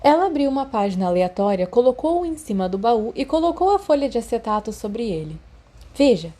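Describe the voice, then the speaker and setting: A woman's voice: a little high, sing-song, brisk, dark tone. A woman with a Brazilian accent, reading scripted fan fiction as an audiobook narrator.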